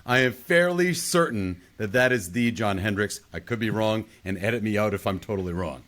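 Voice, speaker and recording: A man's voice: fairly low pitch, animated, normal speed, a bit bright. A man hosting a casual film discussion in an inquisitive way, often putting questions to the group. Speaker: podcast host.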